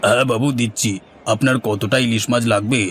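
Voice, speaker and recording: A man's voice: mid-range voice, steady tone, fairly quick, a bit bright, a little hiss or hum, lightly acted. A man voicing a persuasive village fishmonger, eager to please, in a cartoon folktale. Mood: obliging. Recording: music bed under the voice.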